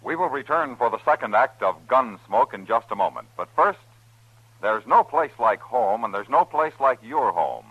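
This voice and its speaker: A man's voice: medium pitch, very animated, moderate pace, faint music behind. A man announcing on old-time radio, reading a script in an earnest, emphatic, formal way. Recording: dull old radio recording.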